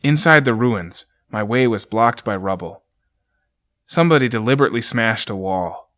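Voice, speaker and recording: A man voicing a doomed ranger who reads his last journal entries in a grim, measured way. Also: fairly low pitch, expressive, a bit bright. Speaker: journal narrator in an audio drama. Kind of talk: dramatic reading of a journal.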